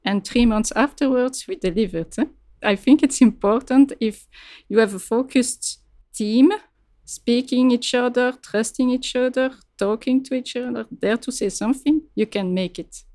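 A woman's voice: a little high, normal speed, a little mellow, no echo, studio quality. A woman answering interview questions as a client project leader, in direct, emphatic, clipped phrases.

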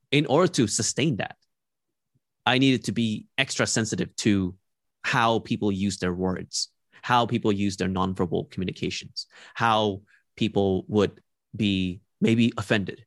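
Low-voiced thoughtful man. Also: no echo, clean studio sound. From Malaysia. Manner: thoughtful, measured, frequent "like" and restarts. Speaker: podcast guest. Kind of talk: reflective interview answers.

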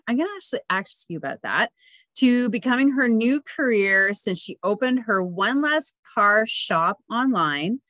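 A Canadian woman hosting a podcast, chatting casually and excitably as she interviews a guest. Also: mid-range voice, no room sound. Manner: excitable, enthusiastic.

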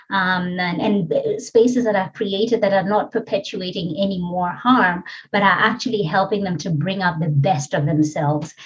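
A woman's voice: mid-range voice, highs cut off. A middle-aged woman from Kenya with a Kenyan accent, a podcast guest telling her story earnestly and reflectively, with many fillers. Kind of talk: interview conversation.

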